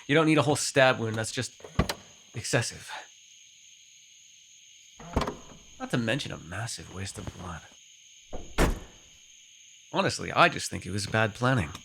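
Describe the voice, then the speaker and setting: A man playing a sarcastic vampire with a soft spot in an ASMR roleplay, talking in a teasing, conversational way with sighs and hesitations. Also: fairly low pitch, animated, moderate pace, creaky, long pauses, background noise. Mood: exasperated, teasing. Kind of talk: immersive ASMR roleplay acting.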